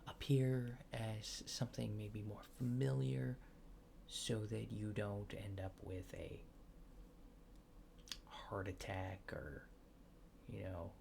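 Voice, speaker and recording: Male ASMR performer, gravelly soft voice near whisper, halting and politely reassuring as a mild intake official, with typing and clear background noise. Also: fairly even intonation. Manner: halting, low-key, polite intake-interviewer delivery. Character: mild-mannered alien official disguised as human, awkwardly reassuring. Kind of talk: ASMR roleplay, soft improvised questioning.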